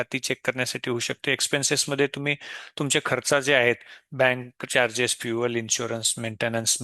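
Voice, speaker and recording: Male software demo presenter, Maharashtrian accent. Forward, bright tone, unscripted step-by-step explaining, very dry room. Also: medium pitch, no room sound. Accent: Indian, Maharashtrian. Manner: explanatory, step by step, mixing in English tech terms. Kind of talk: unscripted software tutorial walkthrough.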